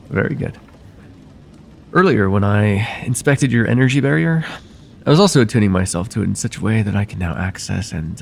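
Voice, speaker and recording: A man playing a soothing, quietly possessive vampire therapist in an ASMR roleplay, soft-spoken and deliberate, with formal wording and hypnotic pauses. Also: low voice, vocal fry, a little hiss or hum, heavily acted. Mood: hushed, soothing, then clinical, probing. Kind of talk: ASMR roleplay, slow intimate monologue.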